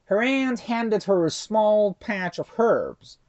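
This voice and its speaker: A woman's voice: medium pitch, animated, slow pace, darkish tone, lo-fi sound. A woman storyteller narrating a children's folktale expressively.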